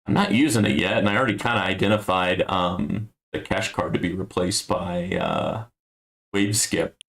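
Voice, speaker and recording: Male streamer, deep gravelly voice, musing low-key play-by-play. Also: sing-song, moderate pace, fairly bright tone. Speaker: game streamer. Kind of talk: casual gameplay commentary.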